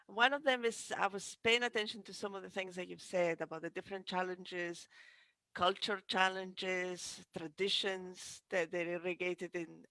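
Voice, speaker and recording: Woman in her 30s reading out webinar questions, bright voice, bit halting, dry headset sound. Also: medium pitch, gravelly, no room sound. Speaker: co-host relaying audience questions. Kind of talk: webinar moderation, unscripted. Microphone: headset boom mic on video call.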